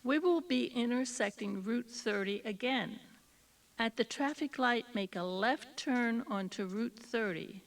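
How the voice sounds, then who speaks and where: Woman reading tour directions, mid pitch, gravelly fry, bit far from the mic. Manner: measured, instructional. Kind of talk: scripted driving instructions. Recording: slightly distant old cassette recording.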